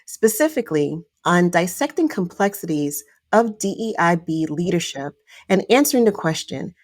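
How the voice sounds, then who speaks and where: Woman host, lowish voice, enthusiastic, dry close mic, faint music behind. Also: no echo. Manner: enthusiastic, with sudden upward pitch jumps. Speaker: podcast host. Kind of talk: scripted introduction and interview questions.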